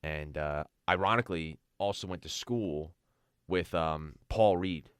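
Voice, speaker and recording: Young male sports radio co-host, deep and resonant, conversational, dry studio sound. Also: a little slow, smooth, no echo. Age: young adult. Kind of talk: casual sports talk.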